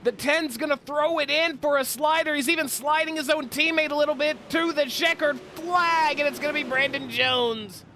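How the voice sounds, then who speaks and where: A man doing play-by-play commentary for a simulated racing broadcast, slightly stuffy-nosed from a cold, with his pitch climbing during close battles. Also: moderate pace, few pauses, pushed and bright, a little hiss or hum. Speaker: race commentator.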